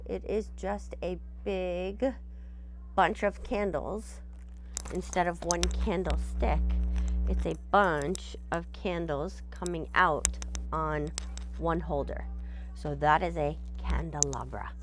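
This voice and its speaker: A woman's voice: fairly low pitch, fairly even intonation, slow pace. A woman teacher reading a storybook aloud and patiently explaining it in simple terms, often checking in with "right?".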